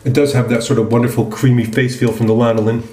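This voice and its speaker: Male vlogger, medium pitch, casual unhurried review talk, roomy echo. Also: little pitch movement, dark tone. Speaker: presenter of a wet shaving video. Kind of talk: casual product review chat while shaving. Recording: roomy, echoing indoor sound.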